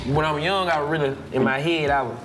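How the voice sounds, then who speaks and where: A man in his late twenties, a podcast co-host, teasing his friends loudly in casual comedic banter. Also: a little high, fast, a loud crowd. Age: 20s. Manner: loud, animated, teasing.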